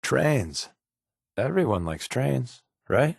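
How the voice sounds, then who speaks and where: An actor voicing a wry, sarcastic undercover agent who tells playful tall tales, as companion lines for a video game. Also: male voice, mid-range voice, sing-song, takes it slowly, creaky, slightly rough, no echo, clean studio sound, full character voice. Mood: joking, sardonic. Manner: wry, joking, sardonic.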